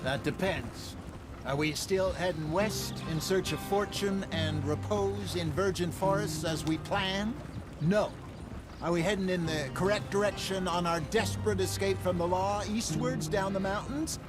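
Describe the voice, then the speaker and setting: Older outlaw schemer, florid, wry, western game drama, higher-pitched voice, loud music. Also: male voice, a little slow. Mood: theatrical. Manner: florid, grandiloquent wording.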